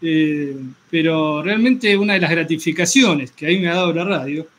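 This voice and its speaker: A man with an Argentine accent, a workshop teacher and radio host, speaking reflectively and informally in wordy, halting sentences. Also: on the high side, fairly bright tone, a little hiss or hum.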